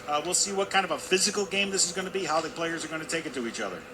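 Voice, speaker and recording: A man's voice: a little high, very fast, noisy background. A man giving chatty, informal color commentary on a live ice hockey game from an indoor arena.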